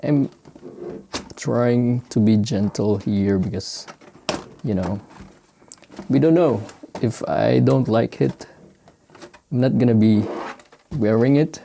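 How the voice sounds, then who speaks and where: A man from the Philippines with a Filipino accent, speaking non-native English in casual, halting unboxing commentary as he searches for words. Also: mid-range voice, vocal fry, warm tone, slight background noise. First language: Filipino language. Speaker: sneaker unboxing vlogger. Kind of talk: casual unscripted product commentary.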